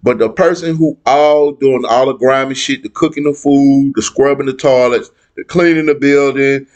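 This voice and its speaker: A man's voice: mid-range voice, fairly even intonation, normal speed, darkish tone. A young man in a heated panel debate, talking bluntly in slang and repeating his points.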